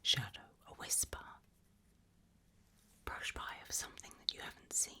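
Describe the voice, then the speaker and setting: A woman whispering an ASMR role-play straight to the listener in a breathy, measured, quietly ominous way. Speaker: ASMR host playing a fear-test examiner.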